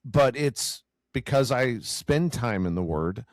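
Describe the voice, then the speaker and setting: A man with a self-described growly, loud voice, speaking earnestly in a casual faith conversation and often repeating words as he searches for them. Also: medium pitch, lively intonation, slow, no echo, studio quality.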